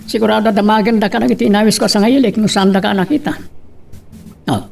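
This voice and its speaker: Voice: medium pitch, fairly even intonation, quick pace, creaky, darkish tone. A performer playing a parent in an Ilocano romantic family audio drama. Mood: teasing. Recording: noticeable background noise.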